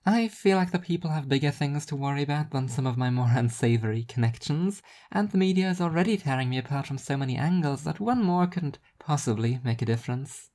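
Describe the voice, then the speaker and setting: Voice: medium pitch, animated, fairly quick, few pauses, mellow, voice pushed up, close to an everyday voice. A performer voicing a wry, self-deprecating woman with a dry, smiling irony. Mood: exasperated, amused.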